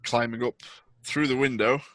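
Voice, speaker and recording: A man's voice: medium pitch, steady tone, normal speed, a bit bright, no echo. A man improvising narration as game master of a tabletop roleplaying game.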